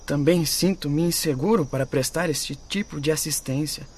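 A man's voice: on the high side, normal speed, slight background noise, close to an everyday voice. An actor playing a timid, apologetic, devout man in a radio drama, hesitant and formal. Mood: apologetic, uneasy.

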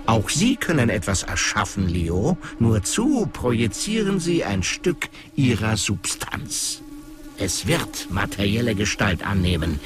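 Smug, godlike manipulator over heavy music. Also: male voice, on the low side, big pitch swings, measured pace. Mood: seductive, instructing. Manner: lecturing, smug, controlling. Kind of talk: German radio drama acting. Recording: studio voice under prominent music.

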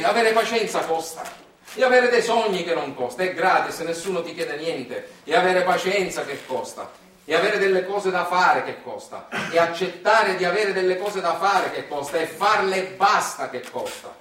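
A man's voice: on the high side, a bit bright. In a roomy, somewhat distant stage recording, a man plays a weary country father with a Sicilian accent. He tells his tale patiently, in folksy, repeating rhythms. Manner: folksy, repetitive, rhythmic telling. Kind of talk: theatrical storytelling monologue. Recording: roomy echo, distant microphone.

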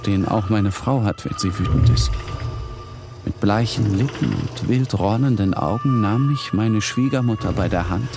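A man narrating a dark literary text in the first person, with brooding, deliberate phrasing. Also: on the low side. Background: music.

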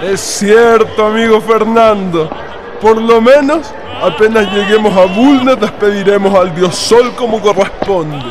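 A man's voice: high-pitched, unhurried. A man playing an idealistic young writer in a radio drama, declaiming floridly. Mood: poetic, admiring. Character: idealistic, poetic young male writer founding a colony. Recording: music behind the voice.

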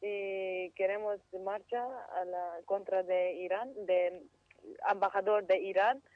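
Halting woman on a dull phone line. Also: medium pitch, steady tone, dry recording. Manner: hesitant, halting non-native speech, slips into English. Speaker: interview guest, activist. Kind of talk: halting radio interview over the phone.

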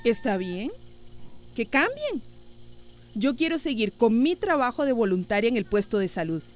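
A woman's voice: fairly high pitch, very animated, moderate pace, a little hiss or hum. A woman playing a stern, loyal, easily exasperated village mother in a radio soap. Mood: impatient, stubborn. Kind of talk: radio soap opera acting.

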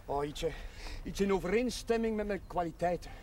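An actor playing a restless, headstrong village chaplain in a television drama, earnest and wry, quick to retort. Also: male voice, high-pitched, darkish tone, a little hiss or hum, natural voice.

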